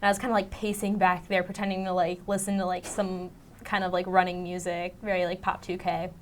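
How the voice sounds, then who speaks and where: A woman's voice: fairly low pitch, flat delivery, a little fast, slight background noise. A young woman, a student actor, chatting in a studio interview in loose, informal speech full of fillers. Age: teens to young adult. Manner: informal, filler-heavy youthful talk. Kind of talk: casual interview chat.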